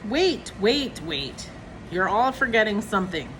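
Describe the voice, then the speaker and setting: A woman's voice: medium pitch, sing-song, unhurried, a bit bright, a little hiss or hum. A woman voicing a flustered, exasperated wife in a storybook, fretful and emphatic. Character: flustered, exasperated wife worried about seating guests.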